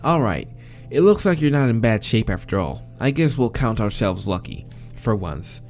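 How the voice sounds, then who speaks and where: A man's voice: mid-range voice, big pitch swings, moderate pace, a little mellow, soft background music. A man voicing a stern, sour cat librarian from a visual novel, curt and commanding.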